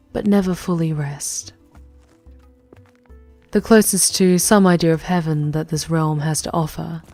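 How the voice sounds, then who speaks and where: Female actor as world-weary demon queen guide, ASMR roleplay. Dark, velvety low tone, unhurried formal delivery, breathy near-whispers. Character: regal, world-weary demon queen, once human, guiding a wanderer. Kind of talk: slow, intimate ASMR roleplay monologue.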